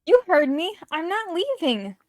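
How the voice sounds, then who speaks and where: A stubborn young heroine, voiced by a woman, high-pitched and emphatic. She sounds earnest and easily flustered, like a dating-game protagonist, recorded close and dry. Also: big pitch swings, unhurried, no echo, heavily acted. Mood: defiant, earnest.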